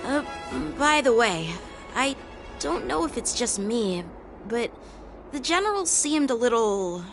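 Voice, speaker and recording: A woman's voice: on the high side, sing-song, slow pace, over music, full character voice. A woman voicing an earnest young swordswoman in an anime game, proud yet polite and a little hesitant.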